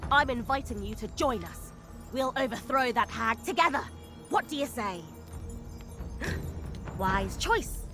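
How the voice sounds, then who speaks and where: A high-voiced, cocky gang leader. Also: female voice. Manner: swaggering, bossy. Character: brash, cocky young female gang leader. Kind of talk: game cutscene acting. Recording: music behind.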